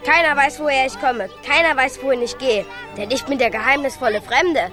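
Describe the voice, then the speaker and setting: A self-important child playing the mysterious stranger in a radio play. The voice is high and bright, with faint music behind. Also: female voice, fairly quick. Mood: solemn, mysterious.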